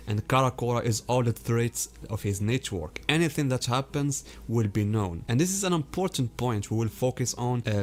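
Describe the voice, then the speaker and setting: A man with foreign-accented English, hosting his own channel with scripted video commentary and speaking directly to his viewers. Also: mid-range voice. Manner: explanatory, addresses viewers directly. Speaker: anime theory YouTuber.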